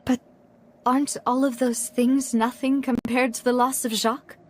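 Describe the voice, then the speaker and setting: A woman's voice: high voice, level tone, moderate pace, slight background noise, lightly acted. A woman voicing an earnest, guilt-ridden young woman in a video game, speaking in a heartfelt, formal way. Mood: guilty, sorrowful.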